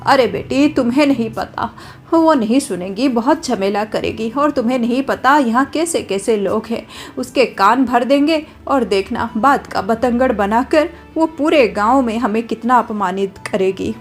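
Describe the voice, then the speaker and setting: A woman voicing a kindly older village mother-in-law who gently advises her daughter-in-law. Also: on the high side, expressive, a little slow, soft background music. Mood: gentle advising.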